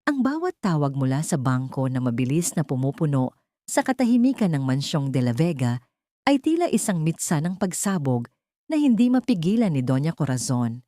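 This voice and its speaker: Low-pitched woman narrating with sweeping intonation. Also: sing-song, moderate pace, dark tone, no echo, studio quality. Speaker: narrator of audio drama. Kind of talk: dramatic scripted story narration.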